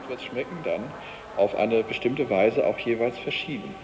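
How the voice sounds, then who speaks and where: A man thinking aloud casually and reflectively, halting as he searches for words. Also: medium pitch. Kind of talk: reflective casual opinion in an audio collage. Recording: noisy cassette, long hall reverb.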